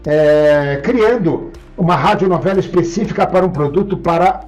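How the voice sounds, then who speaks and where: High-pitched, effusive Brazilian man hosting an interview. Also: normal speed, a little mellow, soft background music. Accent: Brazilian Portuguese. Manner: effusive, exclaiming, repeating words for emphasis. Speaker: interview show host and professor. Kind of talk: interview hosting with addresses to viewers.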